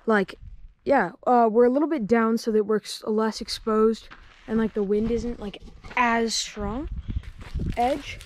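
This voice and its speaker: A teenage boy vlogging casually on a mountaintop, excitable and full of slang, filmed on a handheld action camera. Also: very high-pitched, unhurried. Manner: excitable, slangy, exclaims often. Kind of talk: casual outdoor vlog. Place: outdoors on a windy mountaintop. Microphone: action camera held at arm's length. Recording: noisy outdoor camera audio.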